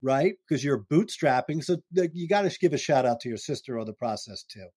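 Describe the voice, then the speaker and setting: Middle-aged man, podcast host, casual restarting talk, dry close sound, mid pitch. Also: animated, a little mellow, slightly rough, no echo. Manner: loose, restarting, upbeat with quick laughs. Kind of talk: casual interview chat.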